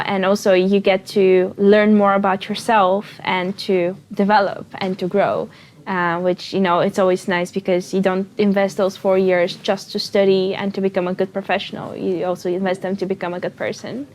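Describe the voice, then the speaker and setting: Young woman, mid-pitched, chatting. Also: flat delivery, normal speed, a little mellow. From Bulgaria. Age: 20s. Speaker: panel guest, recent graduate. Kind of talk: casual panel chat.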